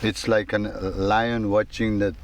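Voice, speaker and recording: An older man from the former Yugoslavia, speaking haltingly in a documentary interview, often restarting and repeating words. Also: on the low side, level tone, a little hiss or hum.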